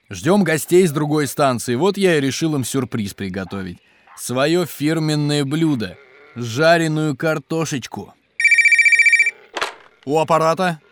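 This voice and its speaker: An actor playing a hospitable, jolly geologist and cook at a polar station in a children's educational audio drama, with an animated, explanatory way of talking. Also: male voice, fairly high pitch. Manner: animated, chuckling, explanatory, hearty.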